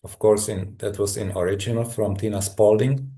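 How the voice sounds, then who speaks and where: A middle-aged man from Czechoslovakia with a Czech accent, a guest telling his story in a halting, thoughtful way with many restarts. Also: on the low side, level tone, mellow. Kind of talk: personal story in a conversation.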